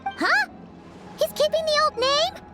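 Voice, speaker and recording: A woman voicing a tiny, excitable fairy companion in a video game, with a squeaky childlike voice and a bubbly manner. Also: slow, heavily acted. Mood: excited. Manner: bubbly, exclamatory. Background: music.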